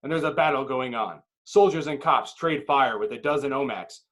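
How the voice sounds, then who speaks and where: A man's voice: mid-range voice, moderate pace, a bit bright. A man narrating a screenplay's action with intense, clipped dramatic phrasing. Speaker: narrator reading stage directions.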